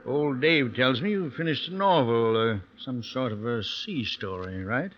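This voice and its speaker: A man's voice: mid-range voice, animated, measured pace, lightly acted. Man playing small-town sheriff, American, skeptical, plain-spoken, mid-range voice, classic radio drama acting, lo-fi sound. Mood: probing, casual. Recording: dull lo-fi vintage radio recording.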